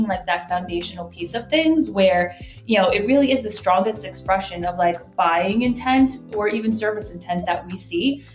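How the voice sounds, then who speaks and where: Female business guest, conversational and explanatory, echoey room sound, light music behind. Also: medium pitch. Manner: conversational, frequent "like" and "right?" tags. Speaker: guest, marketing executive. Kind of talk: explanatory business interview talk.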